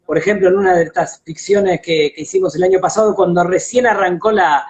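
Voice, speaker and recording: A man's voice: high-pitched, animated, fairly quick, vocal fry, darkish tone. A man with an Argentine accent hosting a casual live interview, appreciative and wordy, over an internet video call. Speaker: interview host. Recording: dull lo-fi livestream audio.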